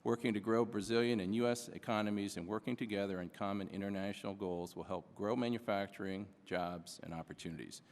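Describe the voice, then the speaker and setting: Low-voiced man reading testimony. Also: steady tone, slight background noise. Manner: formal, measured reading. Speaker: witness testifying. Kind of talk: prepared congressional testimony. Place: congressional hearing room. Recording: long hall reverb.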